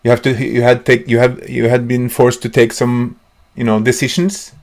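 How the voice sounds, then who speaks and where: A man's voice: medium pitch, little pitch movement, moderate pace, darkish tone. Rambling man hosting an interview. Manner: rambling, stumbling questions. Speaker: host. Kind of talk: casual interview.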